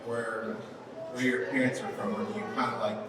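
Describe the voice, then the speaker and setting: A man interviewing a guest in a relaxed way, asking questions with many "you know" and "like" fillers. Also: medium pitch, a little fast. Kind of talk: casual street interview. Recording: echoey, far from mic, crowd behind.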